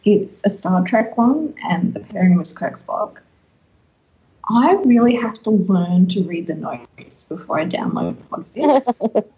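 Female podcast host, wry and casual, mid-to-higher everyday voice, slightly muffled lo-fi recording. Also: expressive, measured pace, warm tone. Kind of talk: casual podcast chat, giving recommendations.

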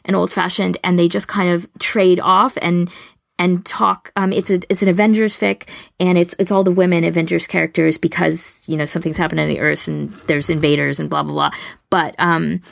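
A woman podcast co-host with a slightly low, gravelly voice full of vocal fry. She explains her picks conversationally and casually. The sound is dry and close to the mic. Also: no echo. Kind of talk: casual explanatory chat, giving recommendations.

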